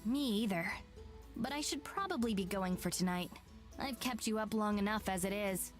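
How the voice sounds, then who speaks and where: An actress playing a polite, soft-spoken, grateful woman in a visual novel. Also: medium pitch, animated, moderate pace, lightly acted. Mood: warm, polite. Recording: music behind game dialogue.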